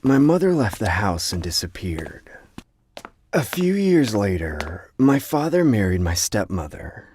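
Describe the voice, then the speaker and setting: Lively low-voiced male horror story narrator. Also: no echo, clean studio sound. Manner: dramatic, first-person storytelling.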